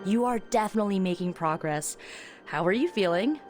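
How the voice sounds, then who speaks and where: An actress playing an informal, chatty and slightly awkward young sorceress in a video game. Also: female voice, medium pitch, expressive, a little fast, over music, close to an everyday voice. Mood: friendly, careful. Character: casual, eager young sorceress, a bit awkward. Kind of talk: video game cutscene acting.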